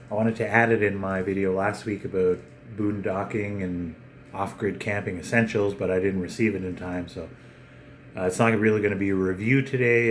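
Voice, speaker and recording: Male vlogger, lowish voice, off-the-cuff and halting product unboxing, some background noise. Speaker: video channel host. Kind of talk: casual unboxing vlog.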